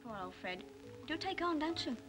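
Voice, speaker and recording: High-voiced, restless young Englishwoman. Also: very animated, brisk. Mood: teasing, impatient. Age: young adult. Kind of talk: 1940s British film acting. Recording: old 1940s film soundtrack with music.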